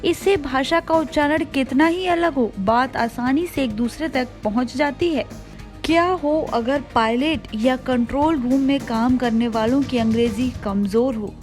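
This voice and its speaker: A woman with a high-pitched voice presents a scripted explainer as a video host. She speaks to the viewers in a presenter's manner. Soft music plays behind her. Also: measured pace. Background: background music.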